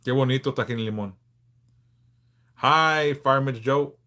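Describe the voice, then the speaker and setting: A man in his forties from Southern California, hosting a casual livestream and answering viewers in a frank, laid-back way with halting restarts. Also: mid-range voice, pushed and bright. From Los Angeles, California. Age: 40s. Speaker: livestream host.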